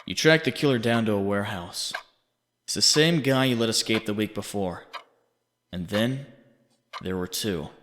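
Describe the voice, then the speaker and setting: A man playing a haunting inner voice, narrating in the second person with deliberate, accusing, dramatic delivery. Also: lowish voice, a bit bright, lightly acted. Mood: somber, accusing. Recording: long reverb, faint background noise.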